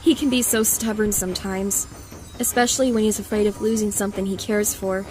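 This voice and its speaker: A woman's voice: medium pitch, fairly even intonation, brisk, darkish tone, faint music behind, lightly acted. A woman playing a gracious, worried pony princess in a cartoon fan drama, polite and earnest. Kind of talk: fan audio drama acting.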